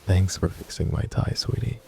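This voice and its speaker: A man playing a possessive, protective crime-family husband in an intimate boyfriend roleplay, speaking in a gruff, wry, low-key murmur. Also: moderate pace, mellow, a little hiss or hum, lightly acted. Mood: guarded, tender.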